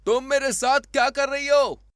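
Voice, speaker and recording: A man's voice: very high voice, fairly even intonation, measured pace, a bit bright, dry recording, studio quality, pitched above the performer's own voice. A man playing a greedy, exasperated poor village husband in a cartoon story, sarcastic and grumbling. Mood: bewildered, protesting. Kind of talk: Hindi cartoon story dubbing.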